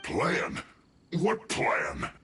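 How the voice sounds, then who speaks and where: A man's voice: sits low, huge pitch swings, very slow, sharp tone, reverberant, soft background music, exaggerated voice. A man playing a hapless, defensive villain's henchman in an anime game, protesting indignantly.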